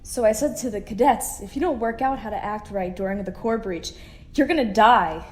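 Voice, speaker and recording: A woman acts as a stern but fair female starship commander in a sci-fi audio drama. Her mid-pitched voice is dry and brisk, with an edge of exasperation. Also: faint music behind, lightly acted. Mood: wry.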